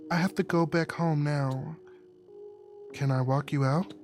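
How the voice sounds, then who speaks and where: A man voicing a tender young woman in love from a visual novel, soft and imploring. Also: fairly high pitch, fairly even intonation, measured pace, natural voice. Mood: gentle.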